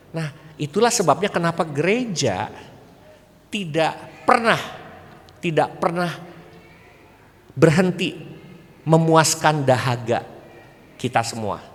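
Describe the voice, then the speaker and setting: A man speaks at a church parenting seminar with a Sundanese-tinged Indonesian accent. His voice sits somewhat high for a man, and he teaches conversationally, regularly checking that listeners follow. The sound carries the long reverb of a hall. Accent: Indonesian with West Javanese (Sundanese) colouring. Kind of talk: public seminar talk with preaching.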